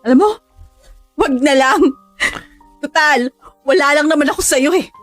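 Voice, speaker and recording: A woman's voice: high-pitched, moderate pace, dry recording, soft background music, close to an everyday voice. An actress with a Filipino accent playing a hurt, clingy young girlfriend in a radio drama. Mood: hurt, resigned.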